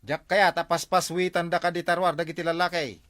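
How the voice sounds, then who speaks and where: A man's voice: fairly high pitch, flat delivery, fairly quick, fairly bright tone, natural voice. A man playing a grumpy, jealous husband in an Ilocano village comedy drama, suspicious and scolding.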